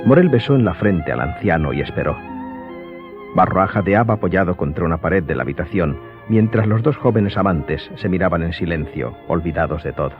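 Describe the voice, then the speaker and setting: A man's voice: deep voice, animated, fairly quick. A man reading scripted narration for an audio drama. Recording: slightly dull sound, music behind.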